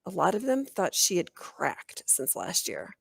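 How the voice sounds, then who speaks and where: Expressive woman reading a children's story, lively pitch. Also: unhurried, vocal fry, breathy edge, no echo. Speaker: teacher reading a book aloud. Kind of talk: children's audiobook narration.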